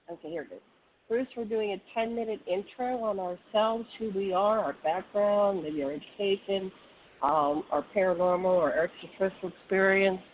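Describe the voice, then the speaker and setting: A woman host moderating a group video call, brisk and organizing as she keeps time for each speaker. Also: medium pitch. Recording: tinny call audio with faint hiss.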